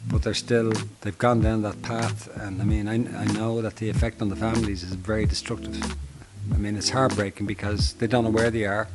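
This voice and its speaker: A middle-aged Dublin man who manages a homeless service, answering interview questions earnestly with many halting restarts. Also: lowish voice, low-quality recording. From Ireland.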